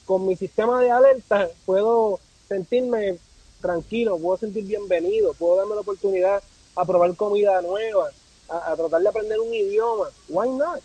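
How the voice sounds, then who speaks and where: A man's voice: high-pitched, dark tone, slightly rough, no room sound, low-quality recording. A man from Puerto Rico with a Puerto Rican accent, talking casually and reflectively as a podcast guest, repeating phrases for emphasis. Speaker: podcast guest, travel content creator. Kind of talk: casual conversational interview.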